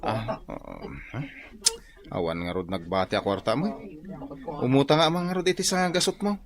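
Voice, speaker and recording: A man's voice: medium pitch, moderate pace, crowd noise, close to an everyday voice. A man acting a boastful, penniless man in an Ilocano radio drama, exclaiming and wheedling in an exaggerated way. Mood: pleading, evasive. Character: boastful penniless man forever cadging food and small loans.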